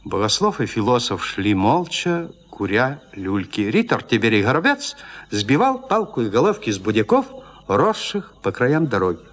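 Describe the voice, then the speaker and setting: A man narrating classic literary prose as a storyteller, expressively and with deliberate pauses between phrases. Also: medium pitch, big pitch swings, fairly bright tone.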